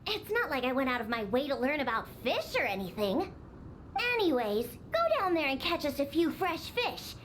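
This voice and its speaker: A woman's voice: sits high, sing-song, normal speed, a bit bright, slight background noise. A woman voicing a grumpy young catgirl bartender in a video game, huffy, haughty and sulky. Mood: proud, defensive. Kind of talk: animated game voice acting. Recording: music behind the voice.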